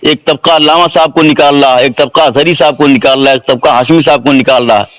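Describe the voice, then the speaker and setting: Male caller, mid-pitched, formal and respectful but pressing, arguing religious points over a recorded telephone line. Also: steady tone, fast talker, fairly bright tone, no room sound. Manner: deferential but insistent, rhetorical questioning. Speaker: caller questioning a mufti. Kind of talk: formal religious debate on a phone call. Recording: phone call recording.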